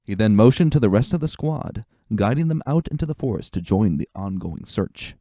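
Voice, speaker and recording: Low, mellow male story narrator. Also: animated, studio quality. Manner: measured, deliberate, suspenseful. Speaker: narrator of a fan fiction reading. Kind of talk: dramatic scripted narration. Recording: roomy voice, faint music bed. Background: faint music.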